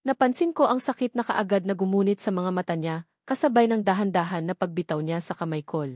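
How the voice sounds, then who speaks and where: A woman's voice: medium pitch, fairly quick, a little mellow, no room sound, clean studio sound. A woman with a Filipino accent narrating a romance novel as a dramatic scripted audiobook.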